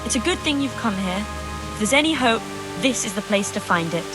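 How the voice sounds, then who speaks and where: A woman's voice: medium pitch, animated, brisk, lightly acted. An actress playing a devout, caring medieval woman in a video game, earnest and full of concern. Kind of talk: video game dialogue acting. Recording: music clearly behind the voice.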